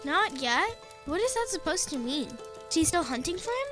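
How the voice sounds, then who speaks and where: A woman's voice: extremely high pitch, very animated, moderate pace. A young performer voicing Anne, a curious, worried young girl asking questions. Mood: puzzled. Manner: questioning, earnest. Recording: music under the voice.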